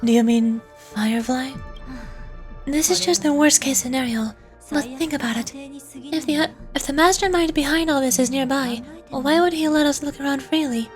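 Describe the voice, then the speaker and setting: A woman with a high voice plays through a story game on stream. She reads the on-screen text aloud dramatically and drops into casual reactions, with game music playing behind her. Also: normal speed. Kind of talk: live game playthrough, reading dialogue aloud and reacting. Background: video game music.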